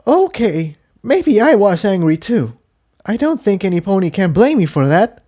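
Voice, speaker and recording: A man performs a young female pony from a storybook adventure, pitched very high. She is hot-tempered and easily hurt, speaking with insistent, swooping emotion. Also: big pitch swings, moderate pace, mellow. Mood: sulky, hurt.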